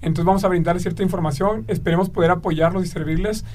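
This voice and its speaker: A man's voice: high-pitched, steady tone, fast talker, a little mellow, recorded from a distance, soft background music. A man in his twenties, a guest coach, talking earnestly on a casual podcast. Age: 20s.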